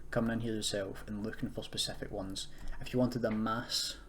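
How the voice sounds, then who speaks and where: A man's voice: fairly low pitch, fairly even intonation, a little fast, fairly bright tone. A young man giving a casual, unscripted coding tutorial, explaining in a hesitant, self-correcting way. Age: young adult. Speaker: tutorial presenter, university student. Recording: clear background noise, keyboard typing. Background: computer keyboard typing and noise.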